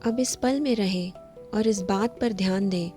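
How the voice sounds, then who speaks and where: Woman guiding meditation over soft music. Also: mid-range voice, measured pace. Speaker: meditation guide. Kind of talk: scripted guided meditation. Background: background music.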